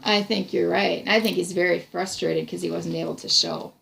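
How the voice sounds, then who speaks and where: Creaky-voiced woman reading aloud. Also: fairly low pitch, fairly even intonation, moderate pace, a bit bright, slight background noise. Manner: encouraging, explanatory. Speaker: reading tutor reading aloud to a child. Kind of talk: storybook read-aloud with discussion. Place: small room indoors.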